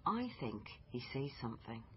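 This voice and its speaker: A woman's voice: a bass voice, sing-song, normal speed. A woman playing a dogged, questioning British police liaison officer in a naturalistic radio drama, probing and thinking aloud. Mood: puzzled, thoughtful. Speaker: actor in radio crime drama. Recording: muffled broadcast with clear background noise.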